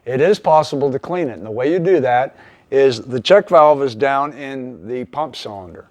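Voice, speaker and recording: Male, mid-pitched, plainspoken and methodical repair-video instructor, folksy turns of phrase. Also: a little mellow. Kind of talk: hands-on tutorial explanation.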